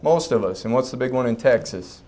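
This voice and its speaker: A man gives a health talk at a mid pitch. He keeps a relaxed, folksy manner, joking with the crowd and calling them "guys.". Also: brisk. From Fargo, North Dakota. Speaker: chiropractor giving a health talk. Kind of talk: public talk at a health expo. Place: expo breakout session room before a live audience.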